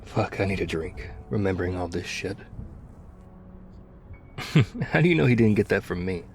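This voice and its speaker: Mellow, low-voiced war-weary male survivor acted by a man. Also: lively intonation, faint music behind. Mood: bitter, wry. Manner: confiding, reflective, halting. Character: battle-worn male ex-soldier survivor of zombie apocalypse, wistful, confiding. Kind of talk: intimate ASMR roleplay acting.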